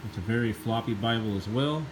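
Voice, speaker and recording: A man's voice: on the low side, brisk, background noise. A man with a slight cold, sniffling a little, giving an enthusiastic, rambling casual review of a Bible.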